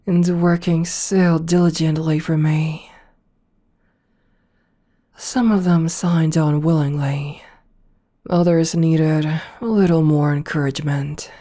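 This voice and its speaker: Woman playing a slow, low-voiced possessive seductive boss. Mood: smug, proud. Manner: slow, soft, breathy coaxing, slipping into whispers. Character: possessive, domineering rich female CEO, seductive hypnotist. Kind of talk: ASMR roleplay audio.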